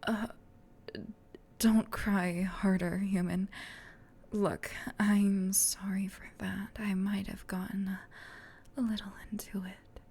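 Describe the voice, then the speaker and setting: A woman voicing a female sleep paralysis demon in a breathy, hushed, intimate whisper for an ASMR roleplay. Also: medium pitch, flat delivery. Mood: flustered, apologetic. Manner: slow, teasing, hushed, intimate. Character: female sleep paralysis demon, menacing tease turned flustered gentle comforter.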